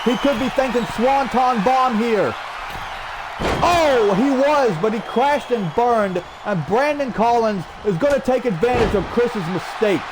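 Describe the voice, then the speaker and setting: A man calling a video game wrestling match play by play, energetic with shouted exclamations and a strained throat. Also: very high voice, unhurried. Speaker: play-by-play commentator. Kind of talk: excited wrestling commentary over video game. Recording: loud game crowd and noise behind. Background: video game wrestling crowd.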